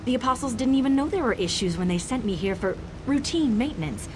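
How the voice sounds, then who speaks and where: A woman voicing a flustered but self-assured repair technician in a video game, brisk, defensive and insistent. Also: a little high, expressive, background noise, natural voice. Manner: defensive, brisk, covering doubt with confidence. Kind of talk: video game character dialogue.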